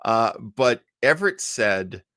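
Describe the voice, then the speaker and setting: A man hosts a casual livestream in a mid-pitched voice with very little room sound. He rambles through anecdotes and asides, halting and restarting, and sounds amused by his own material. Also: very animated, bright, forceful tone, dry recording, studio quality. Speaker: livestream show host. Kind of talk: casual livestream chat, storytelling.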